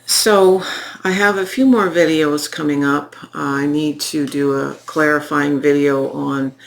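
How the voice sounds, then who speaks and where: A woman giving a tarot reading in an unhurried, reflective way, her voice croaky from recent illness. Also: a bass voice. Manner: slow, reflective, pausing, with fillers. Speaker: tarot reader. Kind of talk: unscripted spoken tarot reading.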